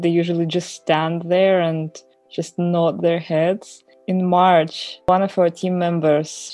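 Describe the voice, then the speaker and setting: Mellow, low-voiced woman chatting about music. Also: dry recording. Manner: chatty, personal, laughs easily. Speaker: podcast co-host. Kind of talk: casual chat about music.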